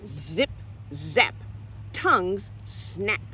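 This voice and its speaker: Animated, slow-paced woman reading to children. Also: fairly low pitch, slight background noise. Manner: expressive, addresses children directly with questions. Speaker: teacher reading a picture book to children. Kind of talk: read-aloud and simple explanations for young children. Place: outdoors in nature.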